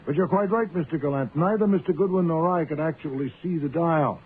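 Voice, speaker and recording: An actor playing a pompous, shrewd, imperious private detective in a radio mystery, with erudite, deliberate, theatrical phrasing. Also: male voice, on the high side, lightly acted. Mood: stern. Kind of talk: radio mystery drama acting. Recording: narrow, tinny, noisy old-time radio recording.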